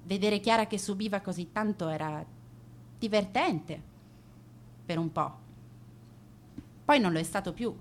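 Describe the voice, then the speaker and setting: An actress plays a manipulative schoolgirl in her teens, confessing in a radio play. Her pitch is mid-range and swings widely as she justifies herself with sardonic defiance. A faint noise sits behind the voice. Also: expressive, measured pace, long pauses, lightly acted. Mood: wistful regret. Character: angry, proud, manipulative teenage schoolgirl confessing to bullying. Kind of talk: dramatic radio play monologue.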